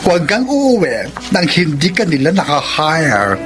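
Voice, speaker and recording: A woman's voice: low-pitched, big pitch swings, a little slow, over loud music. A woman playing an encouraging, bubbly young cousin in a Filipino radio drama, with a Filipino accent.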